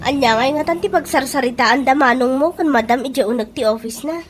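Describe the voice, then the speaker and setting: A woman's voice: high-pitched, fairly quick, lightly acted. A woman playing a nervous, gossipy young office employee in an Ilocano radio comedy, with an Ilocano accent. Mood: nervous gossip.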